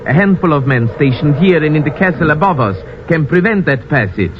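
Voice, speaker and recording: A grave, loyal lieutenant acted by a man from a vintage radio adventure. His voice sits a little high, and his lines are formal and earnest. The old recording is dull and clearly noisy. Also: animated, a little fast, lightly acted. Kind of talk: old-time radio drama acting.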